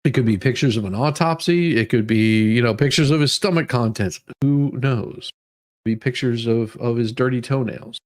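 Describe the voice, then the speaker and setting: Male streamer, medium pitch, reads documents aloud with loose, informal, repetitive asides. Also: moderate pace. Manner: informal, rambling asides, repeats words. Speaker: livestream host. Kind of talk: dramatic reading of legal documents with casual commentary.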